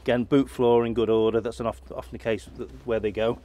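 A middle-aged man giving a relaxed, unscripted walkaround of a classic car for an auction, talking with lots of fillers and restarts in a large indoor warehouse. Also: medium pitch, quick pace, a little hiss or hum.

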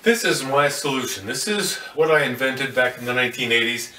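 A man's voice: medium pitch, brisk, pushed and bright. An older man giving a hands-on demonstration of telescope mounts, folksy and full of fillers and self-corrections. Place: indoor room in front of a curtain. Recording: roomy, slightly distant sound.

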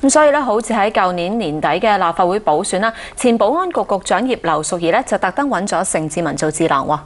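A young woman presents in a TV studio, speaking quickly in a brisk, chatty way. Her voice sits at a middle pitch for a woman. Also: expressive. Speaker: TV host. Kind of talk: scripted studio chat.

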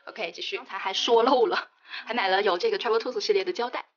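A woman's voice: medium pitch, quick pace, dull audio. A young woman chatting enthusiastically about her stationery haul, mixing into her speech. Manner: chatty, enthusiastic, many fillers. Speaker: stationery vlogger. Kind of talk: casual unboxing narration.

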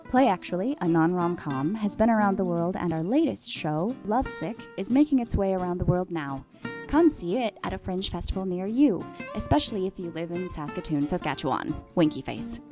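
A woman reading a scripted comic promo in a dry, deadpan manner. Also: lowish voice, brisk. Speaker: announcer of a promo. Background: guitar music.

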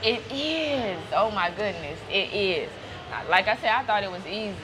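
A woman's voice: mid-range voice, lively intonation, moderate pace, noisy background. A woman guest chatting casually, giggly and easygoing, with loose slang. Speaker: guest, gym partner. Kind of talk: casual chat.

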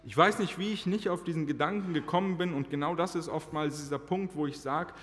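A man's voice: on the high side, fairly bright tone. Earnest preacher man in echoing church. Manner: earnest, slows down to stress key phrases. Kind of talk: personal testimony sermon. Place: church sanctuary, large indoor hall. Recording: reverberant church hall.

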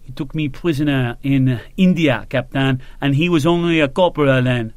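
A man voicing a courteous, grey-haired older colonel with a soft, sad manner. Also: medium pitch, moderate pace, dry recording, natural voice. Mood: gentle.

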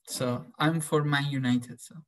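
A man teaching a class over a video call, calling names slowly and asking students follow-up questions. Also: mid-range voice, steady tone. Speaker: professor.

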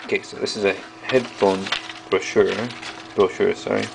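A man giving a casual hands-on product review, speaking haltingly in short pieces as he handles things. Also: fairly low pitch, little pitch movement, vocal fry, heavy background noise. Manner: halting, piecemeal delivery while handling items. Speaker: video host reviewing old electronics. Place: small indoor workroom at a bench.

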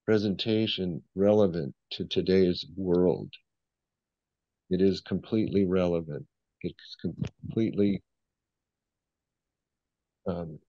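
A man's voice: low-pitched, warm tone, dry recording, highs cut off. A man reading audience questions aloud to a guest speaker.

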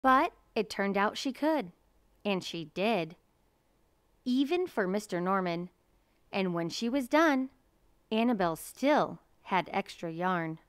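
Slow, clear-voiced woman storyteller. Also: medium pitch, expressive, dry recording, studio quality. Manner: measured, deliberate storytelling. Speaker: storybook reader. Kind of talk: children's picture book read-aloud.

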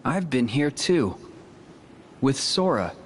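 Brooding young fighter, mid-pitched, measured, earnest, slow delivery, video game acting. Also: male voice, sing-song, a little hiss or hum. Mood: wistful. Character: brooding, resolute young male warrior.

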